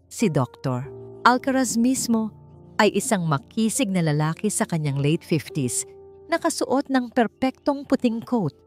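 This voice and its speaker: A woman's voice: mid-range voice, very animated, a little slow. A woman with a Filipino accent reads a scripted romantic drama as its narrator, with music behind her. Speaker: story narrator. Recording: faint music bed under the voice. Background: soft background music.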